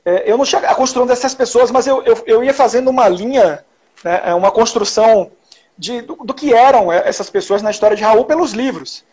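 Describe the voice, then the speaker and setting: A man's voice: very high voice, brisk, darkish tone, husky. A man from Salvador with a Bahian accent, a journalist telling stories in an interview, with many restarts and tag questions. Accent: Bahian Portuguese. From Salvador, Bahia, Brazil. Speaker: journalist guest. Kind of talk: casual interview conversation.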